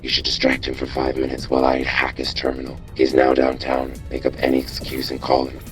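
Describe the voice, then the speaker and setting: Extremely deep, monotone robot informant. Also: male voice, soft background music. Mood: secretive, urgent. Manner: flat, measured, instructing. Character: mysterious male robot informant, secretive, cool and controlled. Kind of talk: video game character acting.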